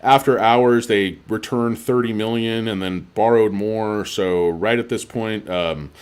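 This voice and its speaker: A tired man rants through a stock livestream in a fairly low voice. He is crude and profane, hurling mocking insults as he talks. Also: unhurried, clean tone. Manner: sarcastic and mocking. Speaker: livestream host. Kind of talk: ranting livestream stock commentary.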